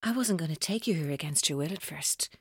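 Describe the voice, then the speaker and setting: A woman's voice: sits low, animated, a little fast, breathy edge, no echo, clean studio sound, full character voice. A woman playing a tormented, vengeful captor in a radio drama, with an intense, taunting, accusatory delivery. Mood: cold, menacing.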